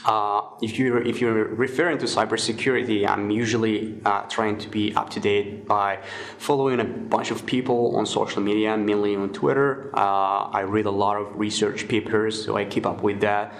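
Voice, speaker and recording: A man in his twenties from Morocco, answering interview questions in a relaxed way with many fillers and restarts. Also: fairly low pitch, normal speed, vocal fry. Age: 20s. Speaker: guest, security researcher.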